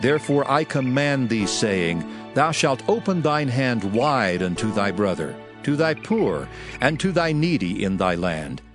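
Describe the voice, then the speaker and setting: A man giving a solemn, declamatory dramatized reading of scripture in measured phrases. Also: mid-range voice, big pitch swings. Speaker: Bible narrator. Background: background music.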